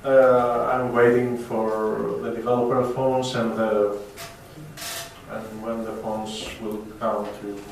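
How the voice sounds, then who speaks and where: Slow, halting young Greek man in echoey room. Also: medium pitch, flat delivery, vocal fry. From Greece. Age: young adult. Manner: hesitant, halting. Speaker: student speaker at a meetup. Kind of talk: informal unscripted talk to a group. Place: echoey indoor event room. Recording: echoey room, distant mic, background noise.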